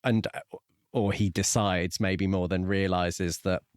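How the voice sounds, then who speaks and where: A man co-hosting a podcast, discussing a book casually in a measured, reflective and explanatory way. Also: low voice, fairly even intonation, smooth, no room sound, clean studio sound. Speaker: podcast co-host.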